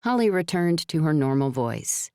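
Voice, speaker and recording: A woman narrates fiction in a notably low, smooth and resonant voice. The recording is dry and close, and her delivery is measured, like someone telling a story. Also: very animated, a little mellow, no room sound. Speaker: audiobook narrator. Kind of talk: scripted narration of a novel.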